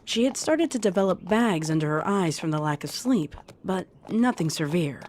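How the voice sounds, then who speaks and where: A woman's voice: lowish voice, animated, moderate pace, darkish tone, a little hiss or hum. A woman reading fan fiction aloud as scripted storytelling narration. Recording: music under the voice.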